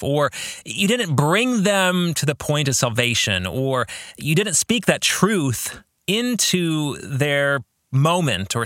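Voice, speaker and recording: Bright-voiced man, expressive and measured. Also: on the high side, dry recording, clean studio sound. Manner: earnest, emphatic, deliberate pauses. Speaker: podcast host and pastor. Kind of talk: reflective book discussion with read-out passages.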